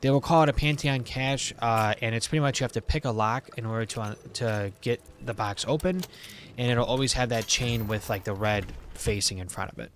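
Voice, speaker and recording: A man's voice: medium pitch, a little fast, slight background noise. A man giving a casual game walkthrough, explaining things informally as the host of a guide.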